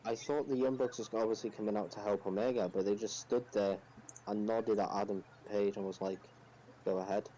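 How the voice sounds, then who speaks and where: A man's voice: on the low side, fairly even intonation, a little fast, mellow. A man chatting casually as a fan on a podcast panel. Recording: dull lo-fi mic, faint noise.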